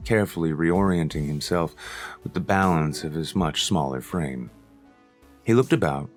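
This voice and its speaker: Male narrator, low voice, measured audiobook read with soft music underneath.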